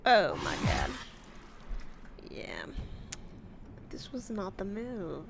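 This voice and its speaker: A woman's voice: medium pitch, wildly swooping pitch, very slow, vocal fry, background noise. A woman giving casual let's-play commentary, chatty, exclaiming at setbacks and making wry, self-mocking asides. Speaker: gamer. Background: game music.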